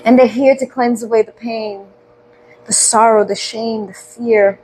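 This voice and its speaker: A woman leading a guided breathing meditation, speaking slowly and soothingly with gentle questions and audible sighs. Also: a little high, steady tone, slight background noise, rough recording.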